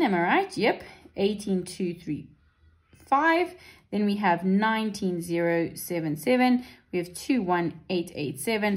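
A woman teacher talking through an exam paper at a desk, chatty and reassuring, often checking in with "right?" and "okay?". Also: medium pitch. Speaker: maths teacher. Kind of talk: exam paper walkthrough lesson. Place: indoors at a wooden desk.

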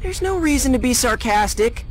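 A man's voice: very high voice, animated, moderate pace, background noise. An actor playing a brash, determined teenage boy hero in a video game cutscene, earnest and youthful.

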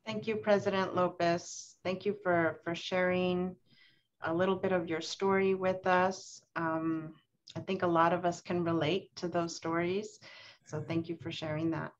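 A woman's voice: fairly low pitch, flat delivery, a little slow. A woman hosting a video call event, introducing speakers with friendly, gracious thanks. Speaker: event host. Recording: slightly dull video call audio.

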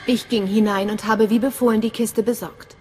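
A woman's voice: mid-range voice, fairly even intonation, moderate pace, darkish tone, soft background music, lightly acted. An actress playing a cool, self-assured female spy in a video game, confident and controlled. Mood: composed. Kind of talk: dramatic video game acting.

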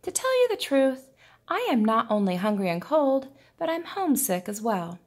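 A woman's voice: fairly high pitch, very animated, moderate pace, voice pushed up. A woman voicing an eager, sociable male rooster in a children's storybook. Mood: homesick.

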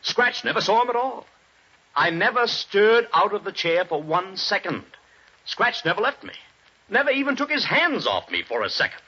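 An actor playing an anxious, defiant husband accused of murder in a 1940s radio drama, urgent and pleading. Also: male voice, high voice, big pitch swings, moderate pace, bright, forceful tone, slightly rough, full character voice. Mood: insistent. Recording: dull old radio broadcast.

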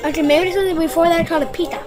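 A woman's voice: very high-pitched, steady tone, very fast. A woman co-hosting a game playthrough, joking and rambling in a casual way, with game music behind her. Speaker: co-host. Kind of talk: casual gameplay chat.

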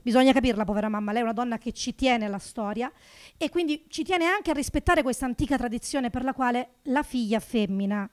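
High-voiced, punchy woman doing stand-up. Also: fairly quick. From Naples. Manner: animated, sarcastic, punchy delivery with big rises for punchlines. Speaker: stand-up comedian. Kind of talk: stand-up comedy routine. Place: small comedy club stage, indoors. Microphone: handheld stage microphone.

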